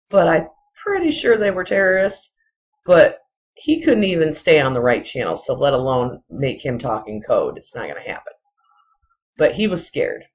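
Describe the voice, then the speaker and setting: A person giving casual, rambling solo commentary in halting, broken phrases, often repeating points insistently. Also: mid-range voice. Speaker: video commentator.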